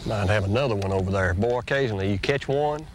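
A middle-aged man, folksy and drawling, hosting a fishing show and teaching while he fishes from a bass boat on a lake. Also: fast talker. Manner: folksy, drawling, excited when a fish bites. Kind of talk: casual outdoor instruction while fishing. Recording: noisy outdoor boat audio, 1990s tape.

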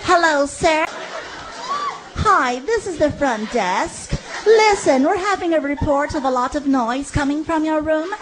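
A man's voice: very high voice, huge pitch swings, slow pace, a crowd in the background, pitched above the performer's own voice, heavily acted. A man voicing a prim, exasperated female hotel front desk clerk in a customer-service tone, in a comedy bit. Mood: polite. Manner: polite customer-service tone, scolding. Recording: noisy live show, audience behind.

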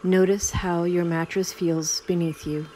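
A woman guiding a sleep meditation in a slow, soothing, unhurried way with long pauses. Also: on the low side, level tone, warm tone, a little hiss or hum. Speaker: meditation guide. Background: Tibetan singing bowl tones.

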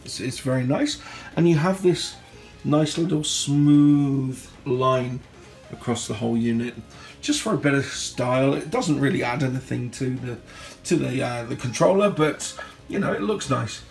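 Hoarse-throated man with a gravelly voice. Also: mid-range voice, animated, a little slow, soft background music. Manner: chatty and enthusiastic, clears throat. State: sore throat, losing voice. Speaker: product reviewer. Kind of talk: casual unboxing review. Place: indoor home room. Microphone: phone held in hand.

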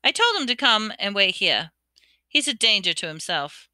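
A woman voicing an excitable young schoolboy who blurts out exclamations and questions. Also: medium pitch, moderate pace, dry recording, studio quality. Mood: matter-of-fact.

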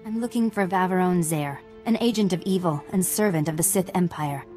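An actress playing a serene, earnest Jedi knight in a video game, speaking calmly and formally. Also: female voice, lowish voice, brisk, faint music behind, lightly acted. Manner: calm, formal. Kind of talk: video game dialogue acting.

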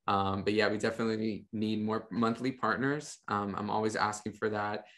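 A young man on a video call, a podcast guest talking about his ministry in a relaxed, rambling way with many fillers. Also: on the low side, normal speed. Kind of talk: casual interview talk. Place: home room with monitors. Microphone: studio mic on a video call.

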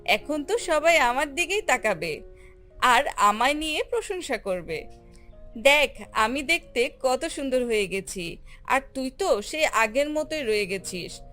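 A woman voicing a vain, haughty, quick-tempered female ripe mango in a children's cartoon, boasting and scolding. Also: sits high, very animated, bright, forceful tone, full character voice. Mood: boastful, mocking. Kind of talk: animated children's fairy tale acting. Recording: music under the voice.

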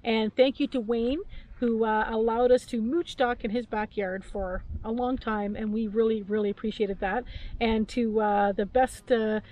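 A woman's voice: on the high side, steady tone, normal speed, muffled recording. A woman in her fifties from Canada, co-hosting a travel vlog and chatting casually with many fillers and giggles, sitting outside beside a camper van with the camera a few meters away. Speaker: vlog co-host. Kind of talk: casual chat.

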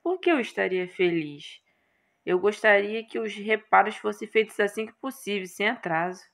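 A woman voicing a calm, literal-minded horned male magician in a game, speaking in a dry, measured way. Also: medium pitch, creaky.

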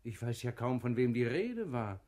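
A man's voice: medium pitch, very animated, moderate pace, a little mellow, lightly acted. A man playing a noble sultan in a classic radio play. He sounds mild and questioning, with very varied intonation.

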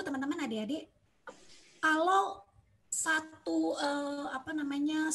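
A woman presents a chess school's programmes over a video call. Her voice sits fairly high and has a slightly husky edge. She explains patiently, pausing often and filling gaps as she searches for words. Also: a little mellow. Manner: hesitant, many fillers, explanatory. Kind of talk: online slide presentation.

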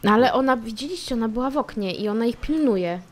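A woman's voice: fairly high pitch, lively intonation, fast talker. A woman playing a tabletop role-playing game, chatting casually in character.

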